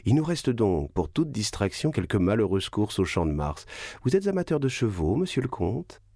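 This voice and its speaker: A man voicing an indignant, haughty baroness in a read-aloud classic novel, speaking in a sharp, reproachful way. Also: fairly low pitch, sing-song, normal speed, dry recording. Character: haughty indignant baroness, middle-aged woman.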